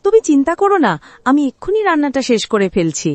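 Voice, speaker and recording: A woman voicing a gentle, humble young stepdaughter in a cartoon, patient and soothing in manner. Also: high voice, big pitch swings, quick pace. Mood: meek, pleading. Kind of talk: Bengali cartoon dialogue.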